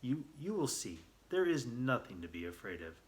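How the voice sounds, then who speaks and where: A man's voice: medium pitch, very animated, normal speed, close to an everyday voice. A man playing a confident, affectionate storybook hero with an earnest, reassuring manner. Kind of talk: storybook character voice.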